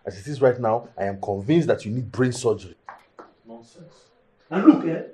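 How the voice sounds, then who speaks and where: Actor as hot-tempered Nigerian husband, wildly swinging pitch. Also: male voice, expressive, normal speed, warm tone, lightly acted. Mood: angry, scornful. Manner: indignant, repetitive, rhetorical questions. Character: aggrieved, hot-tempered, entitled husband bound to tradition. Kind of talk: naturalistic film drama acting.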